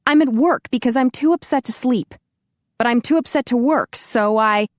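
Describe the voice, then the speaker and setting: A woman's voice: fairly high pitch, animated, moderate pace, darkish tone, no echo, studio quality, pitched above the performer's own voice, natural voice. A woman narrator voicing an indignant young woman who scolds in a heated, exasperated way. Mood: angry.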